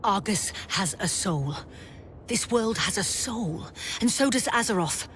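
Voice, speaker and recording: A man voicing a shaken, awestruck woman warrior in a fantasy audio story, in a hushed, intense manner. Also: high voice, animated, unhurried, slight background noise, pitched above the performer's own voice. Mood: awed, intense.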